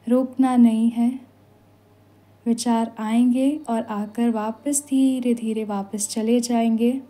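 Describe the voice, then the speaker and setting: A woman with an Indian accent leading a guided meditation as an instructor, giving soothing, unhurried instructions with long pauses. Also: on the high side, warm tone. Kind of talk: guided meditation in Hindi with some English phrases. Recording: voice over background music. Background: meditation music.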